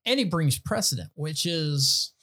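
A man's voice: on the high side, lively intonation, unhurried, sharp tone. A man co-hosting a casual comedy podcast, joking and rambling with restarts. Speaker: podcast co-host.

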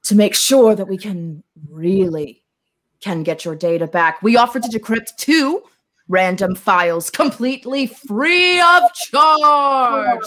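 A woman playing a menacing ransomware extortionist, giving a dramatic, drawn-out and threatening reading. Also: mid-range voice, a bit bright. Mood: menacing, coaxing.

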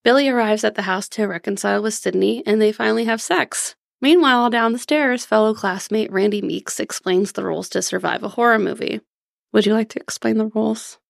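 A woman's voice: medium pitch, fairly quick, gravelly, dry recording, clean studio sound. A woman co-hosting a podcast, giving casual film recaps in a giggly, chatty way. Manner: giggly, laughs often. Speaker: podcast co-host. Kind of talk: casual film recap chat with narrated plot summary.

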